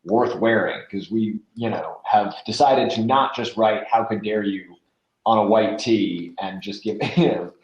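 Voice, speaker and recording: A man's voice: fairly low pitch, unhurried, dull audio. A man chatting casually as an interview guest, a voice actor who slips into acting out quoted phrases. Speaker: podcast guest, audio drama voice actor. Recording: roomy, echoing sound.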